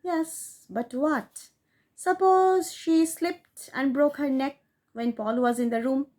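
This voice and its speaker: A woman reading a short story aloud in a measured, expressive narration. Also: high voice. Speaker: audiobook reader.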